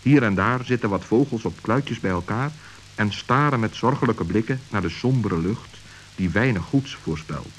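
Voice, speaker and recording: A man's voice: fairly low pitch, expressive, moderate pace. Man narrating a children's radio tale. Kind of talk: scripted children's storytelling. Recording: hissy old radio recording.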